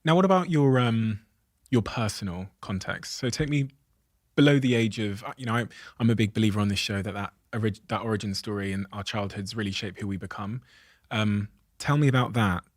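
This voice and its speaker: A man hosting an interview, asking calm, probing follow-up questions. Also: fairly low pitch, a little fast, no echo, clean studio sound. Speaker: podcast host.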